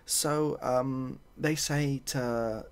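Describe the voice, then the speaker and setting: A soft-spoken man improvising a story in a hesitant, dry, rambling and self-deprecating way. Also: medium pitch, steady tone, extremely slow. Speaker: ASMR video host.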